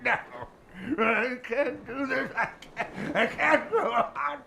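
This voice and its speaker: An actor playing a superstitious, cowardly old boatman with rustic sailor speech and a gravelly voice in a radio play. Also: extremely high pitch, lively intonation, takes it slowly, sharp tone, a little hiss or hum, exaggerated voice. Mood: fearful, pleading.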